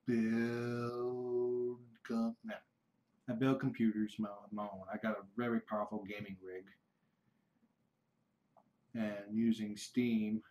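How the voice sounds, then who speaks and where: A middle-aged man from the United States answering viewer questions on a casual livestream, rambling and halting with frequent restarts. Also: on the low side. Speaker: kitten foster livestreamer.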